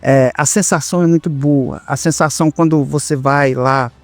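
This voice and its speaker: A man's voice: fairly high pitch, fairly even intonation, moderate pace, soft background music. A Brazilian man hosting a conversational podcast interview, affirming and echoing what his guest says. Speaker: podcast host.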